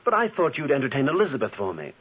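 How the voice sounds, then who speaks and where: Haunted, driven young scientist; husky, very animated, formal 1940s radio drama, muffled sound. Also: male voice, mid-range voice, racing, heavily acted. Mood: earnest. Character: driven, haunted young gentleman scientist telling his tale.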